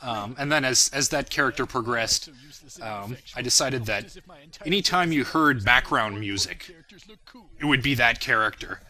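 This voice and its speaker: A young man with a strikingly bright, sharp voice gives an informal commentary on his own work. He rambles reflectively and pokes fun at himself. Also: mid-range voice, normal speed. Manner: self-deprecating, rambling, reflective. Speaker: creator of a fan parody series, commentator.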